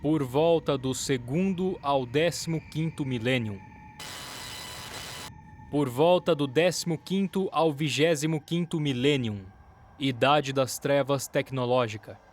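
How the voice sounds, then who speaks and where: A man delivering solemn, deliberate scripted narration for a dramatic production. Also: mid-range voice. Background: music.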